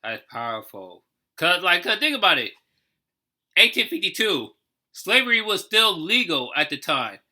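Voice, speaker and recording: A man giving casual, off-the-cuff reaction commentary as host, thinking aloud with halting, repeated phrases. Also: high-pitched, big pitch swings, pushed and bright.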